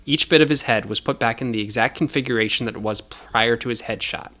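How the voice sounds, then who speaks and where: A man's voice: medium pitch, fast, sharp tone. Man narrating dramatic audio fiction.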